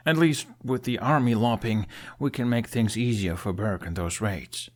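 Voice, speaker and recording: A man's voice: on the low side, normal speed, natural voice. A man voicing a confident, boyish young dragon rider in an audiobook, speaking in an earnest, easygoing way. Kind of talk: audiobook character dialogue.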